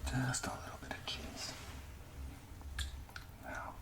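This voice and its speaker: A man from Canada speaking softly and gently in an ASMR cooking style, often dropping to a breathy whisper as he talks through each step. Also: takes it slowly. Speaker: amateur ASMR cooking host. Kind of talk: soft-spoken ASMR cooking narration.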